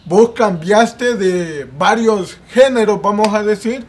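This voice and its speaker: Guy asking questions real slow and careful, high voice, faint hum outside. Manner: measured, carefully framed questions. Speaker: interviewer. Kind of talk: casual interview. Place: outdoor patio with plants.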